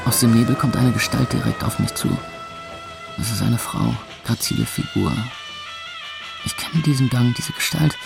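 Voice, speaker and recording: Frazzled young club owner, creaky voice. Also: male voice, mid-range voice, moderate pace. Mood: dazed, muttering. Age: 20s. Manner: slangy, muttering, dramatic. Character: jaded, frazzled 23-year-old music club owner. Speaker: lead actor and narrator of a radio play. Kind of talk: first-person radio drama narration. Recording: loud club music and crowd behind.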